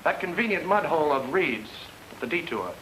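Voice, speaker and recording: Wry radio detective, noisy recording. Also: male voice, a little high, sing-song, a little fast, a bit bright. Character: married amateur sleuth man, wry and quick-witted. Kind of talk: old-time radio detective drama acting.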